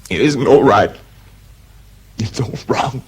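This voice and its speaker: An actor with a British accent playing a bitter, self-pitying research scientist in a radio drama, dry and clipped. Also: male voice, medium pitch, slow, slightly rough, poor audio. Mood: bitter, tense. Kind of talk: British radio drama acting and first-person narration.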